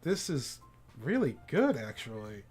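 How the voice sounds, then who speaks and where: A man's voice: mid-range voice, sing-song, a little slow, darkish tone, slightly rough, soft background music. A young man streaming a game, reading its story aloud and adding wry, joking asides. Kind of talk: reading a visual novel aloud with commentary.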